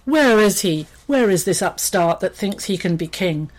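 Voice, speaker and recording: A mighty storybook lion king, acted out by a woman. He is domineering and quick to rage. His delivery is blustering and imperious, with a sarcastic, menacing edge. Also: mid-range voice, sing-song, slightly rough. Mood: roaring fury.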